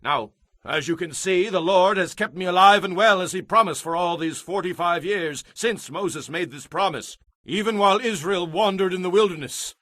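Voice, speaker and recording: A man's voice: sits high, normal speed, pushed and bright, no room sound, clean studio sound. A man playing a vigorous, devout eighty-five-year-old warrior, earnest and proud, in a dramatized audio Bible with declamatory, emphatic delivery. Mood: proud, determined.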